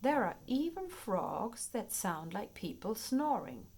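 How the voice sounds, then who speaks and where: A woman reading a children's nature book aloud in an expressive, playful storytime manner, paced for young listeners. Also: fairly high pitch, slow pace, breathy edge. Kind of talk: read-aloud children's nonfiction storytime narration.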